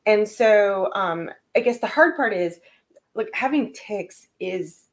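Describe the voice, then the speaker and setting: A woman's voice: mid-range voice, lively intonation, takes it slowly. A woman talking as a guest on a home panel chat, explaining things thoughtfully with many fillers. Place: living room, indoors.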